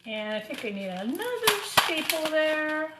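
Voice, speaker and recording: Woman, slightly high voice, children's craft teacher, step-by-step informal narration, unhurried pace, clear background noise. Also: big pitch swings. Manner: talks through each step while working. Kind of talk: informal how-to craft demonstration for young children. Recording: roomy echo, clear background noise and clatter.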